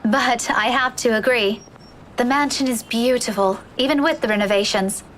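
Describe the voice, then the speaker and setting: A woman's voice: a little high, normal speed, fairly bright tone, a little hiss or hum, voice pushed up, close to an everyday voice. A woman voicing a respectful young woman, an eager former student who speaks hesitantly and earnestly in a visual novel.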